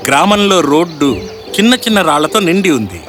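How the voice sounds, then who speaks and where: A man narrating a children's fairy tale as a dramatic, expressive storyteller. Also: on the high side, fairly quick, over music.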